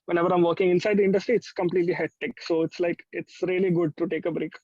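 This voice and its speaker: A man's voice: high voice, level tone, fast talker, darkish tone, dry recording, muffled recording. A man with a South Indian accent chatting casually as an interview guest, rambling and repeating himself. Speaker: pop musician, interview guest. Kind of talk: casual chat.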